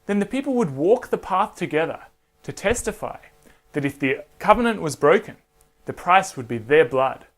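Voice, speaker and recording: A man hosting and narrating a scripted Bible story for children in an expressive manner, addressing listeners directly. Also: on the high side, normal speed, soft background music. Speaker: storyteller and host.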